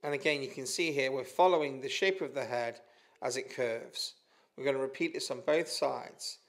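A man with a British accent calmly narrating a step-by-step hairdressing tutorial. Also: mid-range voice, normal speed. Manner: calm, methodical instruction with small restarts. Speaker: hairdressing educator. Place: studio with a plain grey backdrop.

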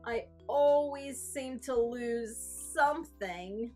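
A droopy, mournful cartoon emotion acted out by a woman speaks from a children's storybook. The voice is high and very slow, dragging out its gloom, with clear music playing behind it. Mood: weary, mournful. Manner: mournful, sighing, drawn-out delivery. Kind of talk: children's picture book read-aloud.

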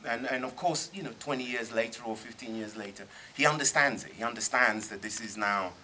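A middle-aged man speaks on a panel in a cinema screening room. His tone is bright and ringing, and he argues with emphasis, circling back and restarting. A faint hum of the room sits behind him. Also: mid-range voice, fairly quick, low-quality recording. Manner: thoughtful, emphatic, many restarts. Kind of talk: panel discussion.

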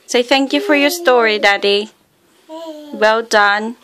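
Mum behind the phone camera at bedtime, gentle and coaxing, voice a little on the high side. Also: female voice, slow. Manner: soft, encouraging, coaxing a toddler. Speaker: mother filming bedtime. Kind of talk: casual family chat. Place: dim child's bedroom.

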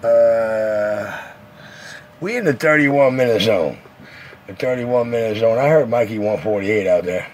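A man's voice: fairly low pitch, unhurried, darkish tone. Male CB operator, swaggering slangy patter, lightly noisy radio recording.

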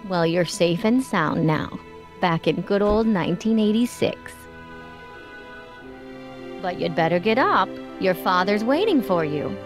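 A woman's voice: lowish voice, lively intonation, a little slow, pauses often, soft background music. A woman acting a caring, soothing middle-aged mother in a video game.

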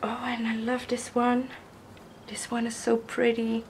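A young woman, hoarse from a cold, gushing slowly over craft gifts while filming at a table with the camera mic. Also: a little high, completely flat delivery, a little hiss or hum. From Netherlands. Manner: gushing, slow, admiring, repeats praise. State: hoarse from illness. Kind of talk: casual unboxing vlog. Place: indoors at a wooden table.

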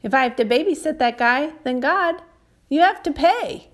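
A woman's voice: on the high side, expressive, measured pace, a bit bright. A woman voicing a sulky, defiant young man in a storybook, with a whiny, rising tone.

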